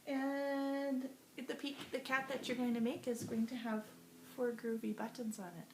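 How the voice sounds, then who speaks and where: A woman teacher reading a storybook aloud to young children in an expressive, sing-song way, asking them questions as she goes. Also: sits high, measured pace. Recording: roomy echo with faint background noise.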